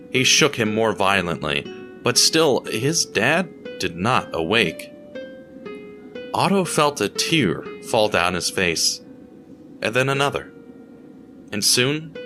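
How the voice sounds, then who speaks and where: A man narrating a scripted family drama in a measured storytelling manner. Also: on the low side, bright, forceful tone.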